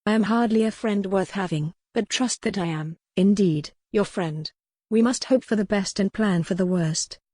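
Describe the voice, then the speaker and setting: A woman's voice: medium pitch, moderate pace, a little mellow, dry recording, clean studio sound, natural voice. A woman voicing a proud, earnest young noblewoman in a historical romance audiobook. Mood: earnest, kind. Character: young noblewoman, proud captive wife, earnest and sharp-tongued.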